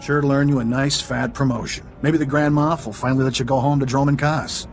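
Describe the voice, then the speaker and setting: A man plays a smug smuggler villain in a video game. He taunts glibly in a mid-pitched voice, always mocking, with music faintly underneath. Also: steady tone, brisk, close to an everyday voice. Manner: glib, mocking. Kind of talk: video game cutscene voice acting.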